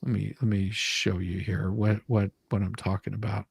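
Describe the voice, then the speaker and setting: A man's voice: lowish voice, level tone, moderate pace, gravelly, darkish tone, breathy edge, dry recording, studio quality. A man casually hosting a live talk, reading documents aloud and explaining them in a blunt way. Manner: blunt, mildly profane, explanatory. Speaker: online show host.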